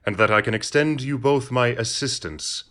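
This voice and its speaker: An actor playing a mysterious, self-possessed count in an audio drama, formal and courteous. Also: male voice, mid-range voice, moderate pace, a bit bright, lightly acted. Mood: composed.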